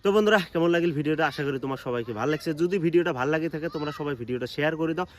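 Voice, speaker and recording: A man acts out a cheeky young eldest son in a comedy skit. He has a northern Bangladeshi accent and talks quickly in a slightly high voice, with clear noise behind him. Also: raised voice, lightly acted. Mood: friendly. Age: young adult. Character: lovestruck, cheeky eldest son who defies his father. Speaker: comedy skit performer and channel host. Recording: clearly noisy background.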